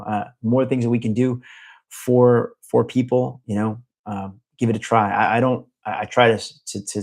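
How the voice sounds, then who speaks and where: A man's voice: fairly low pitch, vocal fry, a little mellow. A middle-aged man from the United States, chatting casually with a live chat in a rambling way, full of fillers and restarts. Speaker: vlogger answering a live chat.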